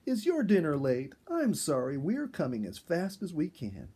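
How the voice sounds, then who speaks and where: A man voicing a kindly, practical, animal-loving wife in a storybook, affectionate and gently teasing. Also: a little high, huge pitch swings, normal speed, breathy edge, voice pushed up, heavily acted.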